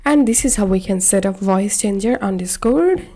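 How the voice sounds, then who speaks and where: A woman narrating a step-by-step software tutorial in short, instructional phrases. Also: medium pitch, lively intonation, normal speed. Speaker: tutorial presenter.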